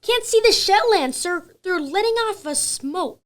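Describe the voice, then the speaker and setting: Very high-pitched, anxious young artillery gunner played by a woman, loud clipped call-and-repeat, radio drama. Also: animated, unhurried. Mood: anxious, alarmed. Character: young artillery gunner shouting back ranges, frightened but dutiful. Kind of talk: radio play acting.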